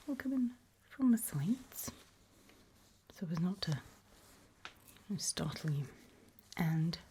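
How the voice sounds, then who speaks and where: A British woman whispers gently and unhurriedly in a breathy, reassuring ASMR medical roleplay as a doctor. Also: lowish voice, very animated, takes it slowly.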